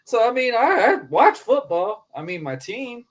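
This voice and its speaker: A man's voice: very high-pitched, big pitch swings, normal speed, slight background noise. A man hosting a podcast in casual chat, easygoing banter with frequent laughs. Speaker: podcast host.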